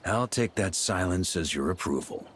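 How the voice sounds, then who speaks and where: Deep-voiced, devoted knight grandmaster. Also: male voice, animated, moderate pace, fairly bright tone, slight background noise. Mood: solemn, tender. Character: rugged veteran male knight grandmaster, devoted and resolute. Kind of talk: video game voice acting.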